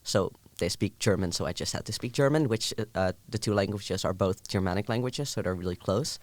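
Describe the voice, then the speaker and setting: A young Dutch student speaking English as a podcast guest, thoughtful and measured, with frequent pauses. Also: male voice, deep voice, no echo. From Netherlands. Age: young adult. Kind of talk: casual chat.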